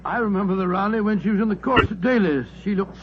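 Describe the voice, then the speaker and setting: An actor playing an amiable, blustering English gentleman doctor with an old-fashioned, chummy manner in a vintage radio drama. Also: sits high, quick pace, natural voice. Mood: chummy, earnest. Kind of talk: 1940s radio drama acting. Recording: dull, noisy vintage radio recording.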